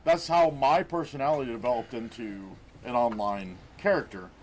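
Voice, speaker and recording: A man's voice: medium pitch, moderate pace, a little mellow, a little hiss or hum. Crude joking man in his forties. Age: 40s. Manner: crude, joking, rambling with restarts. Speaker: guest streamer. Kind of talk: casual podcast chat.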